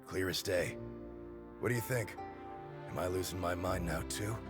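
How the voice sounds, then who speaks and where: A man playing a desperate, loyal soldier beside his comrade, emotional and halting in a dramatic game scene. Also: deep voice, natural voice. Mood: weary, wistful. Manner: emotional, pleading, broken phrasing. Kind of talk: dramatic video game acting. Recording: loud music over the voice.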